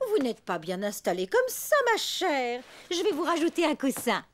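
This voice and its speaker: A woman's voice: high voice, sing-song, normal speed, soft background music. A woman playing a gushing, proud mother and hostess in a French cartoon, with affected, effusive politeness.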